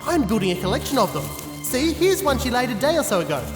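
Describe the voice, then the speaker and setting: An actor playing a wily, teasing scarecrow in an audio drama, mock-polite in manner. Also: male voice, very high voice, very animated, quick pace. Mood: smug. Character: cheeky, wily talking scarecrow. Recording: echoey hall, music underneath.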